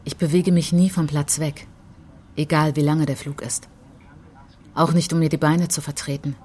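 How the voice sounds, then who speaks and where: Radio drama lead: a wounded, searching woman narrator, deep for a woman, husky, deliberate short phrases. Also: flat delivery, a little mellow. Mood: tense, reflective. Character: introspective, wounded woman traveller recalling her outcast childhood. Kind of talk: literary radio drama monologue, fragmented and poetic.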